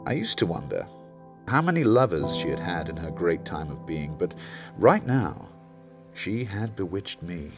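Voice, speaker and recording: A man's voice: sits low, sing-song, unhurried, full character voice. An actor playing a devoted male ship passenger, telling his story in an intimate, lyrical first-person monologue for an audio drama. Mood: dreamy, tender. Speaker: narrator-protagonist of an audio play. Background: loud music score.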